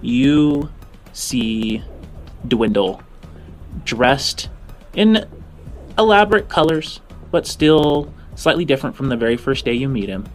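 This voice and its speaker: A man improvising narration as game master of a tabletop game, in a halting, informal, descriptive way. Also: medium pitch, lively intonation, soft background music.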